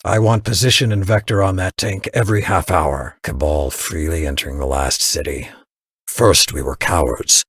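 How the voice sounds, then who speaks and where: Game casting: bitter old veteran mentor, extremely low, rasping voice, grave and forceful, dry close recording. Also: male voice, no room sound, exaggerated voice. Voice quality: very deep, gravelly, husky. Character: stern, embittered old male war veteran mentor. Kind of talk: dramatic video game voice acting.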